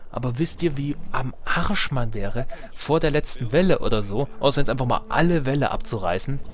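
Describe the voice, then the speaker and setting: A man's voice: medium pitch, lively intonation, a little fast. A man gives casual let's play commentary, thinking aloud in a colloquial way with playful asides. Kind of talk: casual game commentary while playing.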